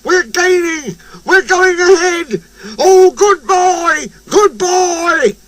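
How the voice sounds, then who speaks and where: A man playing a thrilled railwayman in a storybook, shouting and cheering in exclamations. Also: extremely high pitch, animated, drawn-out words, pitched above the performer's own voice, full character voice. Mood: elated, cheering. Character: thrilled, cheering railway engine driver and fireman.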